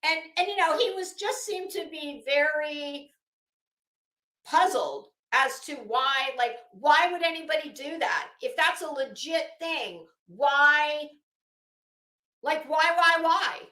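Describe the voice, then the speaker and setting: A woman's voice: sits high, animated, slow pace, bright, forceful tone, echoey room. A woman giving casual, opinionated commentary in a rambling, emphatic and blunt way, swearing freely. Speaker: online commentary host.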